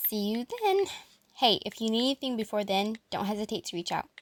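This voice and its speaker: A woman's voice: mid-range voice, brisk, no room sound, soft background music, natural voice. A woman playing a friendly, curious teenage girl in an amateur audio drama, chatty and eager. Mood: cheerful.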